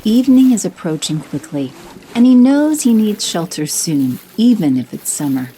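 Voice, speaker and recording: A woman giving steady scripted narration for an audio drama. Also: mid-range voice, moderate pace, a little hiss or hum, low-quality recording. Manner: steady, descriptive.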